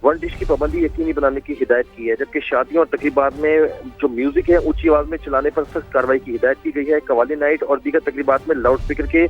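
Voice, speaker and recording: A Pakistani man delivers a live news report at a very quick pace. His fairly high voice comes through as a correspondent on a dull link, with faint music behind. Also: steady tone, husky.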